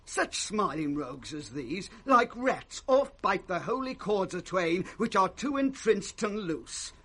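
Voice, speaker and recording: An actor playing a blunt, quarrelsome old servant in 1960s British radio Shakespeare, scornful and declamatory. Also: male voice, high voice, very animated, measured pace, a bit bright, full character voice.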